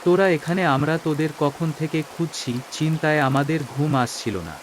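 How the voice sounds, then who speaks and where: A concerned cartoon father acted by a man, worried and parental, with a fairly high male voice. Faint rain noise is behind him. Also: a little fast, close to an everyday voice. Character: worried father searching for his children.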